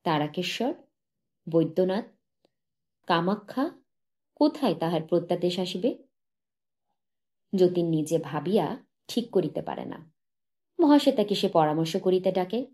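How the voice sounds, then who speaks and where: A woman narrating a literary short story as an audiobook, reading in a measured, solemn manner. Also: lowish voice, lively intonation, dark tone.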